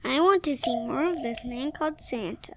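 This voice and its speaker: High, eager Martian child. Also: female voice, normal speed, fairly bright tone, slight background noise. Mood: curious, obedient. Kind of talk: audio play acting.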